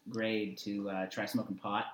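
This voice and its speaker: A man's voice: on the low side, little pitch movement, normal speed, a little hiss or hum. Young man talking in an echoey room. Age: 20s. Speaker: interview guest. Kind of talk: casual conversational storytelling.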